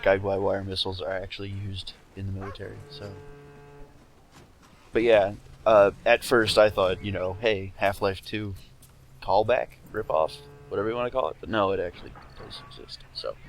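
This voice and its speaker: A man's voice: lowish voice, fairly even intonation, moderate pace. A man co-hosting a let's-play session, making dry, sarcastic jokes about the game. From United States. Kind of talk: casual gaming banter.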